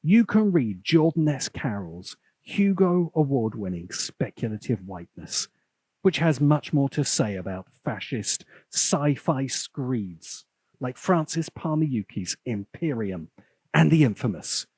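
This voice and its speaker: A man's voice: mid-range voice, big pitch swings, measured pace, a little mellow, breathy edge, dry recording. A man hosting a scripted video essay, narrating with sardonic, dramatic emphasis. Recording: music playing behind the voice.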